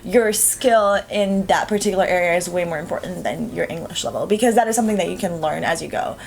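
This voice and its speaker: A woman's voice: lowish voice, fast, creaky. A young woman in her twenties from Romania, a native Romanian speaker, answering interview questions in a chatty, hesitant way. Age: 20s. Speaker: student guest.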